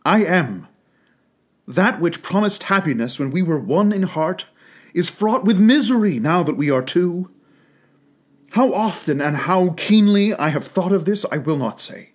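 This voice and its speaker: Man doing a soft, grave young woman with a husky lilt; lift the pitch. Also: animated, unhurried. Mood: earnest. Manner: soft, pleading, rising tone. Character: gentle sorrowful young woman, grave and steady.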